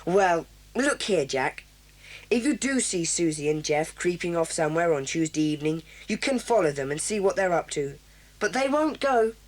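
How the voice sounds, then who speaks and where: A woman's voice: fairly low pitch, animated, moderate pace, natural voice. A woman voicing a bossy, dismissive boy who leads a children's club, in a radio play. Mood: scornful. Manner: dismissive, sure of himself. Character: bossy, scornful boy leader.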